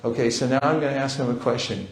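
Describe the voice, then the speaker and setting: A man of middle pitch teaching a seminar in a roomy hall, his voice ringing around the space. He is playful and acts out little exchanges, switching into quick voices for the people in them. The tone is lively and friendly. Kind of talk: live seminar talk. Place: large hall.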